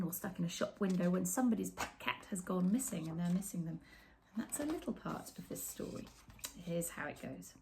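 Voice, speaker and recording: Animated middle-aged woman reading a storybook. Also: mid-range voice. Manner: animated, dramatic pauses, drops into whispers. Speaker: children's author reading a picture book aloud. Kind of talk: expressive storytime read-aloud with asides to young viewers. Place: small room with bookshelves, indoors.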